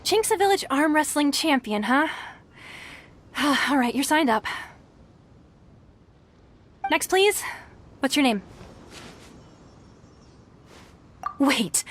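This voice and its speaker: A woman's voice: sits high, sing-song, slow pace, long pauses, fairly bright tone, slight background noise, full character voice. A woman voicing a hesitant, awestruck tournament registrar in a video game. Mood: brisk.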